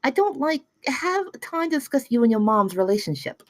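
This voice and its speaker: A woman's voice: high-pitched, sing-song, moderate pace, no echo. Woman playing stubborn pretentious young campus cop, super swoopy delivery, dry sound, table read. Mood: affected.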